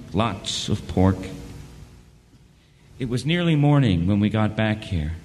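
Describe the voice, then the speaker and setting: A man playing a haunted, ailing man who narrates his journal and speaks in an audio drama, halting and repeating his words. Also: deep voice, darkish tone, a little hiss or hum. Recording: long hall reverb, music behind. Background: music.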